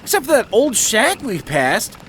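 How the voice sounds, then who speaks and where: A man acting in an audio drama as an eager, naive young intern with excitable, slangy talk. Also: very high voice, measured pace, slight background noise, heavily acted. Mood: curious.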